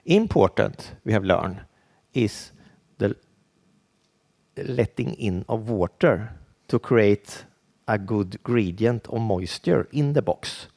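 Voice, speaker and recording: Man, Swedish accent, non-native English, conference presenter, gravelly, low-pitched, halting. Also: expressive, darkish tone. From Sweden. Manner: halting, with frequent restarts and fillers. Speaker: conference speaker.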